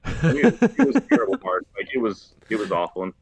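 An American man chatting casually and telling rambling, animated stories as a podcast host. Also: medium pitch, fast talker, gravelly, a loud crowd. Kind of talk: casual conversational storytelling. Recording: slightly dull lo-fi, dry close sound.

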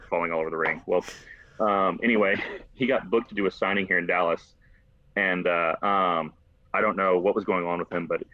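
An American man chatting casually and telling rambling, animated stories as a podcast host. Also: sits low, no room sound. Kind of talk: casual conversational storytelling. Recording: slightly dull lo-fi, dry close sound.

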